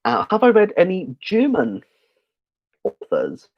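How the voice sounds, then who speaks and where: A man's voice: high-pitched, wildly swooping pitch, unhurried. A man hosting a casual livestream Q&A about books, chatty and rambling with lots of fillers and restarts, a little tipsy. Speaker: book channel host. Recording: slightly dull lo-fi stream audio.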